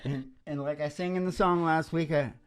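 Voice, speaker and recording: A man's voice: a little high, fairly even intonation, normal speed, smooth. An older man chatting casually as a musician hosting a show, rambling and joking in a folksy way and laughing often. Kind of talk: casual chat and storytelling between songs. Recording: live acoustic guitar playing alongside. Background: acoustic guitar.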